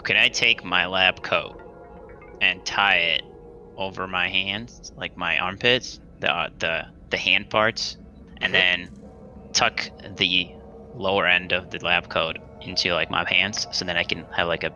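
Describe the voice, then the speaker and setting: A man's voice: sits low, steady tone, unhurried, pushed and bright, muffled recording, faint music behind. A man chatting casually as a player in a tabletop game. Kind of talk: casual chat.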